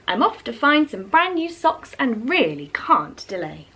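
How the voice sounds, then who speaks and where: Storybook octopus, male, courteous and busy, played by a woman, lively pitch movement. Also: a little slow, slight background noise, exaggerated voice. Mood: apologetic. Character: kind busy sock-loving octopus, male storybook sea creature.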